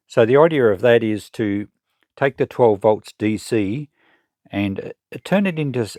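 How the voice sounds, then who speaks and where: An Australian man giving casual hands-on commentary, thinking aloud and explaining things in an unhurried way as he works. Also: fairly low pitch, a little mellow, no room sound, studio quality. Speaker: presenter of a vintage electronics salvage channel.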